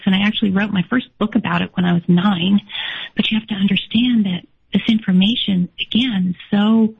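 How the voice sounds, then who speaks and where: A woman whose first language is German, hoarse today, telling her life story earnestly as an interview guest, often restarting her sentences. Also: mid-range voice. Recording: tinny phone-like line.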